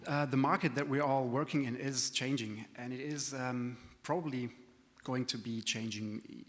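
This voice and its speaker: A man's voice: medium pitch, fairly bright tone. Non-native man presenting in a hall. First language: not English. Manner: friendly, self-deprecating, hesitant with many fillers. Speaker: conference speaker. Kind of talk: conference presentation. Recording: reverberant conference hall.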